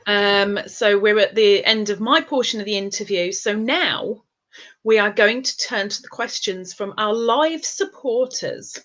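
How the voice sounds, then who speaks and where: A woman's voice: medium pitch, a little slow, a bit bright. A woman hosting a casual live-stream interview with a crafter, playful and teasing.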